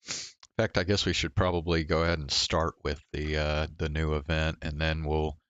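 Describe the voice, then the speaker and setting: A man giving casual, low-key livestream commentary while playing a game, musing hesitantly as he goes. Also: little pitch movement, moderate pace, a bit bright, smooth, no room sound, highs cut off.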